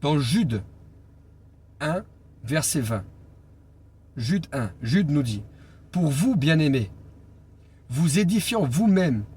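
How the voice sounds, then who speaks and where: A man preaching an informal Bible teaching as an evangelist, speaking deliberately and pausing on key phrases for emphasis. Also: fairly high pitch, darkish tone. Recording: clear background noise and ambience.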